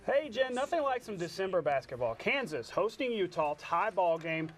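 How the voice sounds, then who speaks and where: A man with an American accent anchoring a sportscast, calling highlights with playful, jokey asides. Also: sing-song, moderate pace. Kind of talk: highlight commentary and studio banter. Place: TV studio.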